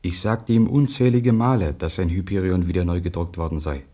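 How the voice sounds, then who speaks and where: An actor playing an earnest acquaintance giving sober, measured testimony in a radio drama, in a husky voice. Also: male voice, sits low, mellow. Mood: concerned, sober. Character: earnest male acquaintance recalling a mad poet.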